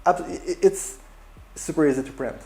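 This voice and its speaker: Halting French-accented man from Quebec. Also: a little high, a little mellow. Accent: Québécois French. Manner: halting, hesitant, full of restarts and fillers. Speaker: guest YouTuber. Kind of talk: casual product chat. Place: 3D printing shop.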